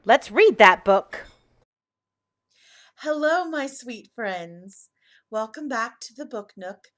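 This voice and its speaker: A woman's voice: on the high side, lively intonation, slow pace, fairly bright tone. A woman hosting a children's storytime, explaining things simply and reassuringly for young listeners.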